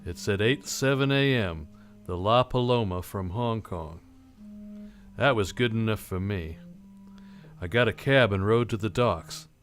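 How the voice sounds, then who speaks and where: A wry, world-weary private detective from a vintage radio noir, acted by a man. His voice sits fairly low, and his delivery is terse, dry and deadpan. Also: a little slow, soft background music. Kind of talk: old-time radio drama, first-person noir narration.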